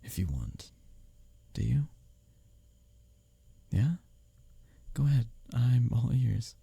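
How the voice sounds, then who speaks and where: A man's voice: medium pitch, big pitch swings, slow pace, creaky, dark tone, husky, no echo, full character voice. A man playing a bickering but secretly caring workplace rival in an intimate ASMR audio roleplay, with a teasing, sardonic manner. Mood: gently concerned. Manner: intimate, teasing, sardonic, chuckling between lines. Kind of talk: ASMR audio roleplay, one-sided dialogue.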